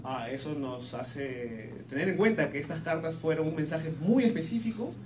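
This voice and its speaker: A man's voice: on the high side, lively intonation, normal speed. A man interpreting a sermon live phrase by phrase, a little hesitant and rephrasing as he goes. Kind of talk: consecutive live interpretation of preaching. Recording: echoey room with clear background noise.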